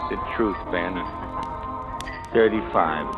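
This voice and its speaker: Tired sad-sack gangster sidekick, real slow, slangy, muffled old radio with music under it. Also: male voice, sits low. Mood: rueful, bitter. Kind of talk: 1940s-50s radio noir acting.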